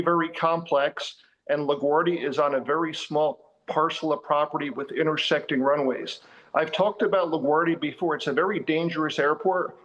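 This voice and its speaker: Higher-pitched man explaining. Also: a bit bright, low-quality recording. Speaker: aviation expert. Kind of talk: interview soundbite, explaining.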